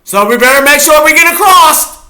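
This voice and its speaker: A man's voice: very high-pitched, animated, moderate pace, sharp tone. A man chatting goofily to viewers while he reacts to a football game live. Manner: chatty, goofy, talks to viewers. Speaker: streamer watching a football game. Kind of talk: casual live reaction chat.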